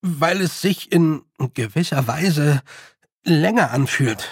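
Man voicing a haughty, nervous young boy, slightly husky. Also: on the high side, lively intonation, slow, dry recording, clean studio sound, exaggerated voice. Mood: uneasy. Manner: hesitant, stammering, slightly haughty. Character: young boy, lordly but naive and nervous. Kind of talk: audiobook character voice.

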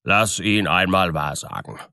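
A man's voice: low-pitched, expressive, measured pace, gravelly, bright, forceful tone, no echo, clean studio sound, exaggerated voice. Man doing a blunt, blustering, gullible miller. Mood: curious.

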